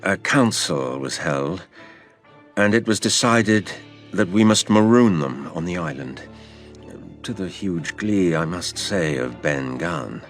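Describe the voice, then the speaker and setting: A man playing a seafarer who tells his past adventure in a measured, literary, expressive way. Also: soft background music, full character voice. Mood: reflective storytelling. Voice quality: low, gravelly. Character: older seafaring man telling his past adventure to a boy, wry and fond. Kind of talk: dramatised storytelling narration.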